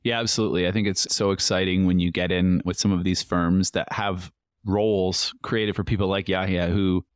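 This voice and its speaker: A Canadian man co-hosting a podcast, relaxed and teasing in the banter with his co-hosts. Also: low voice, moderate pace, clear, resonant tone, no echo, clean studio sound. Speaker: podcast co-host.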